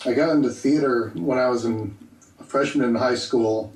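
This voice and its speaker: A man being interviewed as a guest voice actor, easygoing and thoughtful, often pausing to think. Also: mid-range voice, a little mellow. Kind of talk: casual podcast interview. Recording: echoey room, distant from the mic.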